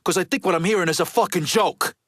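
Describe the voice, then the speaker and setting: A man's voice: sits high, fast, a bit bright, no echo. Game mobster: middle-aged Italian-American tough guy, East Coast accent, street-smart, swearing freely, dry studio sound. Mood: angry, threatening. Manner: blunt, profane, street-tough wiseguy talk. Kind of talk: video game character dialogue.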